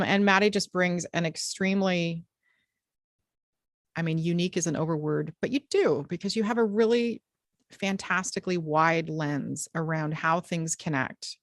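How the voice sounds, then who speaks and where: A woman with a Canadian accent hosting an interview, speaking in a praising, halting way with frequent ums. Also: sits low, no echo, clean studio sound. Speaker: podcast host. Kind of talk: casual interview chat.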